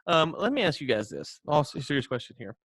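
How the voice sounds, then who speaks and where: A man's voice: fairly high pitch, big pitch swings, quick pace, fairly bright tone, no room sound, studio quality. An American man co-hosting a podcast, telling stories casually in a rambling, mock-serious, joking way. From United States. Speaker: podcast co-host. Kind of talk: casual storytelling chat.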